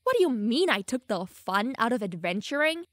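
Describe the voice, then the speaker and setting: A woman's voice: fairly high pitch, sing-song, moderate pace, no room sound, studio quality. A young woman playing a teasing, smug, secretly lovestruck elf mage in an audio roleplay, playful and easily flustered. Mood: playful, defensive. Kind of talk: audio roleplay addressed to the listener.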